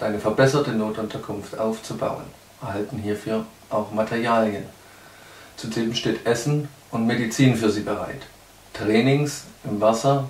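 A man in his thirties giving a prepared news update to camera as a charity spokesman. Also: mid-range voice. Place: small indoor room. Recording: echoey room, distant microphone.

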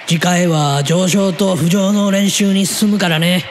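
A man playing an easygoing, chatty middle-aged uncle who is soft on women, in a Japanese audio drama. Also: high voice, steady tone, a little slow, soft background music. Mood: encouraging, easygoing. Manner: casual, blunt, chatty.

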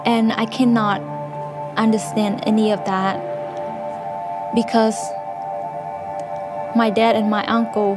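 A woman speaks softly and plainly, her pitch staying very level throughout. She is recorded on a studio interview set with a clear mic while music runs behind her. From Cambodia. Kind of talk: personal testimony. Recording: music underneath.

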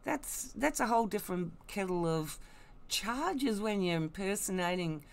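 A woman's voice: mid-range voice, expressive, unhurried, creaky. A woman giving blunt, emphatic, mocking commentary, unscripted and opinionated. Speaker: online commentator.

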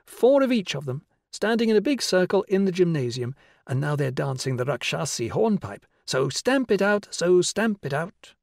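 A man voicing a kindly woman teacher in an audiobook, coaxing and instructing children with deliberate phrasing. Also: on the high side, sing-song, gravelly, a little mellow, dry recording, clean studio sound, pitched above the performer's own voice. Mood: brisk, playful. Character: Susila, tall kindly woman teacher guiding children's pretend games. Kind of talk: audiobook character voice.

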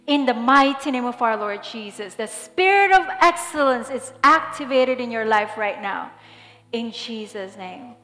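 A woman pastor in her thirties, from the Philippines, leading a fervent prayer from a church stage. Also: sits high. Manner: fervent, declaring prayer then softer guiding. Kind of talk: sermon prayer. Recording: echoey hall with soft music.

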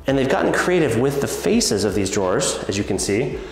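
A man's voice: mid-range voice, very animated, fairly quick. An enthusiastic man in his thirties leads a walkthrough tour of a big empty home, talking to camera as he points things out. He has a mid-range voice. The bare rooms give his voice a roomy echo. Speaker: real estate agent giving a house tour. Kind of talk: walkthrough house tour narration. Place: empty large house, echoey tiled rooms and basement. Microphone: handheld camera, small clip-on mic.

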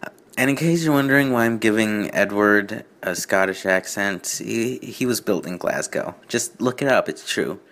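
A man hosting a comedic fan fiction reading, with sarcastic, nitpicking commentary delivered haltingly. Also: lowish voice, fairly bright tone.

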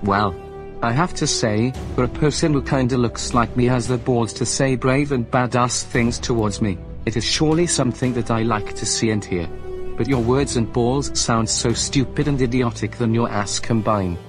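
A man's voice: medium pitch, moderate pace, natural voice. A man playing a foul-mouthed evil villain. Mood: threatening. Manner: rude, cursing, taunting. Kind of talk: amateur voice drama. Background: music.

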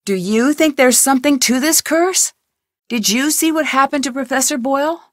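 A woman's voice: sits high, normal speed, dry recording, studio quality, natural voice. An actress voicing a curious, determined young female amateur detective in a video game, asking earnest questions. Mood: inquisitive. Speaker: game protagonist. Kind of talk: studio video game voice lines.

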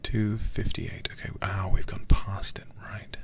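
A man's voice: sits low, flat delivery, measured pace. A man livestreaming a game ASMR-style, murmuring to himself and to his viewers close to the microphone. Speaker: livestreamer playing a horror game. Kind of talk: whispered ASMR game stream chat.

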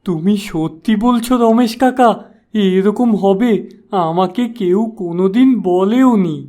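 A man's voice: high-pitched, measured pace, mellow. A man playing a gullible, starry-eyed young village shopkeeper in a cartoon, naive, eager and trusting. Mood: eager, grateful. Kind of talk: cartoon moral-story dialogue. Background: background music.

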